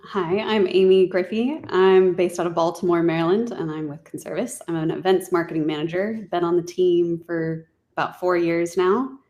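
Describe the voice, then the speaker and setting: A woman's voice: fairly low pitch, steady tone, normal speed, few pauses, darkish tone, lo-fi sound. A woman, an events marketing manager, introducing herself on a webinar in a plain, matter-of-fact way. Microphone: video call.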